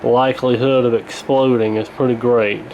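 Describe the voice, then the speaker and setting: A man narrating a repair casually in an unhurried, halting, matter-of-fact way. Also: medium pitch, flat delivery, lo-fi sound, a little hiss or hum. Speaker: radio repair hobbyist presenting.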